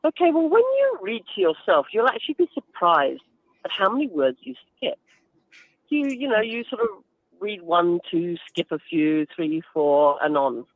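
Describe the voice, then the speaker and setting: A woman's voice: lowish voice, very animated, moderate pace, no room sound, phone-quality sound. A woman giving explanatory interview answers as a guest, instructive and emphatic, with everyday examples. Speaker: guest author and reading advocate.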